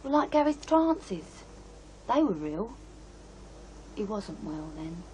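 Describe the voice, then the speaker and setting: A woman's voice: mid-range voice, sing-song, takes it slowly, darkish tone. An actress playing an aggrieved British woman in her twenties, confiding and colloquial, in a radio drama. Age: 20s. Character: bitter, trapped young British woman of twenty-three. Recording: noisy radio play scene with ambience.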